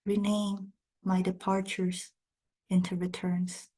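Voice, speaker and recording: A woman poet, a native speaker of Spanish and Korean, reading poems in measured, deliberate short phrases with brief spoken introductions. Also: medium pitch, completely flat delivery, mellow, husky.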